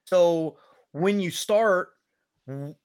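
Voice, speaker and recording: Higher-pitched male sports interview host. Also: extremely slow, a little mellow, clear, resonant tone. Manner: chummy, addresses guest as buddy. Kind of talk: conversational sports interview hosting.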